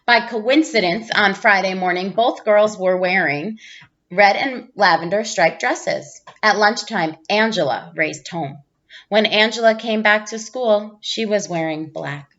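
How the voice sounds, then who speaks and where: A woman's voice: medium pitch, unhurried. Woman reading a picture book, slightly muffled sound. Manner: animated character voices, clear storytelling emphasis. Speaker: teacher reading aloud. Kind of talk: expressive children's picture book read-aloud.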